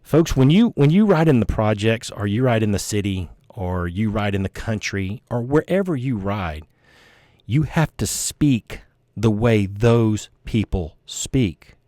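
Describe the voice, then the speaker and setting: A man's voice: fairly low pitch, animated, measured pace, warm tone, dry recording, clean studio sound. A man giving explanatory commentary to viewers in an emphatic, instructive, folksy way, like a former officer teaching from experience. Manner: emphatic, instructive, checks understanding with "okay?".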